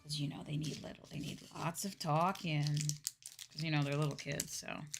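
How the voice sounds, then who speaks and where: A woman's voice: low-pitched, fairly even intonation, normal speed. A young adult woman chatting casually on a livestream, musing and hesitant as she answers chat, her voice a bit sore and tired from a day of talking. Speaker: VTuber streamer. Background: background music.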